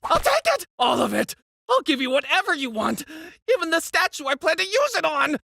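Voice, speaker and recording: A greedy, vain little cartoon pet, squealing very high with a creaky edge. The tone is bright and forceful, and the delivery is theatrical and gushing, leaping up and down. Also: female voice, very animated, brisk, no room sound, clean studio sound, full character voice. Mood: greedy excitement. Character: vain, greedy, excitable cartoon pet who adores shine.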